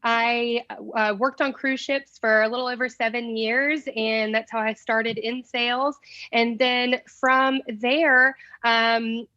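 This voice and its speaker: A woman's voice: a little high, level tone, takes it slowly, bright, forceful tone, no room sound. A woman from South Carolina introducing herself on a video call, hesitant with many ums. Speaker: recruiter. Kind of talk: casual self-introduction. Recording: dull dry call audio.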